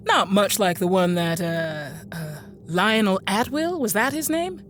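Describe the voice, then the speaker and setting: A woman's voice: mid-range voice, expressive, a little slow, slight background noise, close to an everyday voice. An actress playing a genteel, infirm woman inventor in a radio drama, with courteous, hesitant, old-fashioned speech. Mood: wry, musing. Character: genteel, infirm middle-aged woman inventor, politely apologetic and bookish. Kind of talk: radio drama monologue and dialogue.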